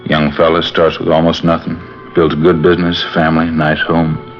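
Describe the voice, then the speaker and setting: Very deep, weary old man. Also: monotone, brisk. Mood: weary, brooding. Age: older. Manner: rambling, folksy, repeats himself. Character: weary, brooding elderly father-in-law who likes a drink. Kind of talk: 1950s radio drama acting. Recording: muffled vintage radio, music behind.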